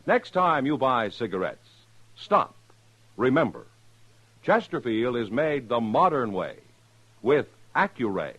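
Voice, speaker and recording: A man's voice: mid-range voice, sing-song, a little slow, long pauses, fairly bright tone, slightly rough. A man with an American accent reading scripted 1950s radio announcements in an emphatic, polished way. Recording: muffled old radio recording.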